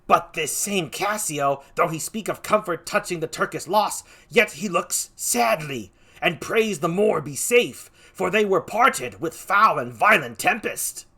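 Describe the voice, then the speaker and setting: A man acting a gentleman messenger in a theatrical Shakespeare read-aloud, proclaiming his lines emphatically. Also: high-pitched, animated, a little slow, slightly rough, heavily acted. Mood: earnest. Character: breathless gentleman messenger bearing war news.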